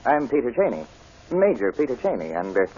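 A man's voice: mid-range voice, very animated, a little fast, warm tone, slightly rough. A man playing a condescending, insistent army major and fiancé in old-time radio drama. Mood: indignant. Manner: patronizing, insistent. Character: smooth, condescending military fiancé, insistent and suspicious. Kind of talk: 1940s radio acting. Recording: muffled, noisy old radio broadcast.

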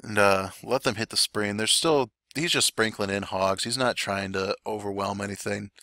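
Guy doing game war recap commentary, hoarse and raspy, kinda low but sharp tone, dry close sound. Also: normal speed, no room sound. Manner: relaxed play-by-play with frequent fillers and coughs. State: voice getting raspy. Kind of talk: gameplay commentary.

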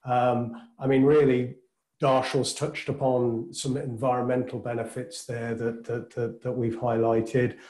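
Webinar host, a man with a mid-pitched voice, enthusiastic, stumbling over repeated words, heard through a roomy webcam mic. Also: level tone, unhurried, warm tone. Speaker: webinar host from the organising company. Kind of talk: webinar moderation over video call. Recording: roomy video call audio.

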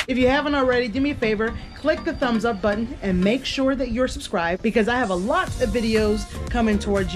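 A woman in her thirties talking candidly to the camera in a casual home vlog, laughing easily. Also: medium pitch. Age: 30s. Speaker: vlogger. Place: bedroom at home.

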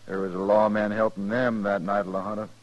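A bitter hired gunman, acted by a man in a vintage radio western. The voice sits low, and the words come sparse and cold, with flat menace. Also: fairly quick, lo-fi sound, natural voice. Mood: menacing. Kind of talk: 1950s radio western acting.

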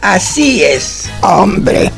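Woman acting gravelly, scolding older madame. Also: mid-range voice, very animated, drawn-out words, soft background music, full character voice. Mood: bossy, impatient. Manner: scolding, haughty. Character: older, imperious, exasperated madame teaching cookery. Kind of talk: radio comedy acting.

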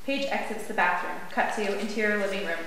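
A woman's voice: mid-range voice, a little fast, noisy background. A young woman reading stage directions as scripted narration at an informal table read. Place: indoor lounge with a seated group reading scripts. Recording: echoey room with long reverb.

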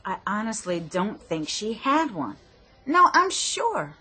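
A breathy, anxious lady shopper. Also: mid-range voice, big pitch swings, takes it slowly, bright, forceful tone, heavily acted. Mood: insistent, unsure. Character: anxious, bewildered woman shopper. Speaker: actor in a radio play. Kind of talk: radio drama acting.

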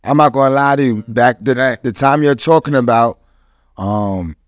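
A man speaks casually, as in a podcast conversation. His voice sits at a medium pitch. The recording is dry, from a small padded studio. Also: moderate pace, no room sound.

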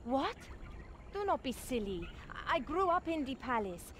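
A woman's voice: high voice, big pitch swings, a little slow, a bit bright, a little hiss or hum, full character voice. A woman playing a proud, easily exasperated young desert princess in a video game, with formal, scolding phrasing. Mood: composed.

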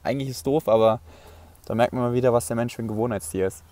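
A man's voice: mid-range voice, quick pace. A man in his twenties, a travel vlogger, chatting casually from inside a camper van into an earphone mic, with lots of fillers. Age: 20s. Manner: relaxed, chatty, filler-heavy. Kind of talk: casual vlog chat. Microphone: earphone headset mic.

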